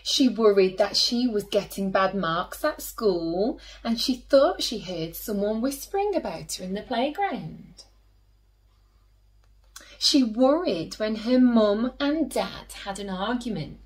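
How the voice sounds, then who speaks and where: Slow, lively woman reading a children's story. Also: mid-range voice. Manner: slow, animated storytelling with drawn-out emphasis and hushed asides. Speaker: teacher reading children's stories, host. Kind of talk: expressive picture-book read-aloud for children.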